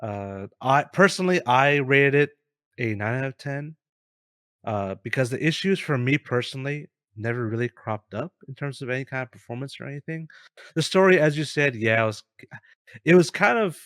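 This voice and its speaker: A man's voice: mid-range voice, expressive, moderate pace, fairly bright tone, no echo. A man co-hosting a casual gaming discussion, enthusiastic but hesitant in his phrasing. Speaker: co-host.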